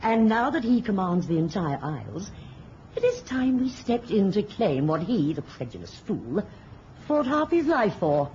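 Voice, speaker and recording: An actress in a radio drama playing a cunning, cold, commanding witch mother with a deliberate, imperious delivery. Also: mid-range voice, big pitch swings, a little mellow. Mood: scheming, gloating. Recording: muffled radio recording with hiss.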